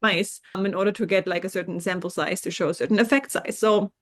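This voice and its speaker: A woman's voice: mid-range voice, fairly even intonation, fast. A woman from Germany, a scientist guest in a podcast interview, explaining research thoughtfully with hedges and restarts.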